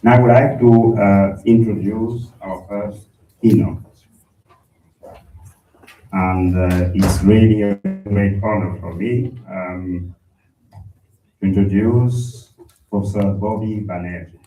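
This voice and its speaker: A man chairing a conference, introducing the keynote in a measured, formal way with hesitant fillers. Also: on the low side, fairly even intonation, dark tone, a little hiss or hum. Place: large conference hall. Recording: echoey hall from a distant mic.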